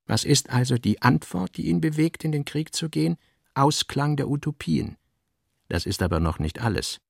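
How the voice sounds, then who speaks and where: A male actor as the grave narrator of a philosophical novel in a radio play, reading in a measured, solemn literary manner. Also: on the high side, expressive, creaky, slightly rough, no echo, clean studio sound, full character voice.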